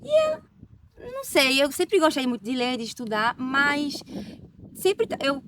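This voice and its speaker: A woman's voice: sits high, very animated, a little slow, far from the mic, background noise. A woman from Recife with a Pernambuco accent, a nurse talking as a guest in a casual interview, chatty with tag questions and restarts. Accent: Pernambuco Brazilian Portuguese. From Recife, Northeast Brazil.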